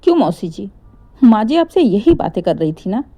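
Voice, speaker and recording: A woman's voice: mid-range voice, very animated, moderate pace, warm tone, soft background music. A woman voicing a composed young daughter-in-law in a family story, polite but pointed and mock-innocent. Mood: coolly pointed.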